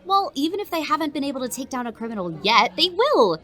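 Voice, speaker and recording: A woman acts an eager high school reporter in an audio drama. The voice is bright and swings widely in pitch. The delivery is excitable, cocky and sure of itself. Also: fast, heavily acted. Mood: eager, confident. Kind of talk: conversational audio drama acting.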